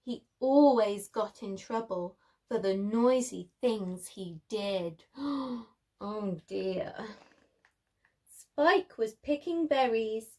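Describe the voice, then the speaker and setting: A woman's voice: on the high side, lively intonation, very slow, dark tone. A woman preschool teacher reading a story aloud, speaking expressively in simple phrases to small children.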